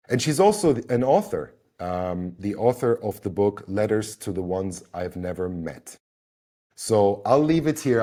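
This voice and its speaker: A man's voice: fairly low pitch, warm tone. A man hosting an interview podcast, introducing a guest and asking questions. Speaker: podcast host.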